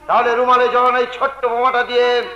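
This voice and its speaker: A brave, righteous working-class hero in a jatra. He declaims with open emotion in a high-pitched voice. Music plays behind the echoing stage sound. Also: monotone, fast talker, bright, forceful tone, dull audio. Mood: defiant, threatening. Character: righteous, protective rickshaw puller, brave and sentimental. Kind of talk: Bengali jatra folk-theatre acting. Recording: echoey stage recording with music.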